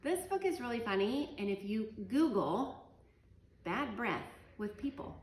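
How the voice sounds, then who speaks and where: A woman teacher reading a picture book aloud to children, animated and chuckling, pausing to talk to her class. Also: fairly high pitch, breathy edge. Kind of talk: children's read-aloud storytime.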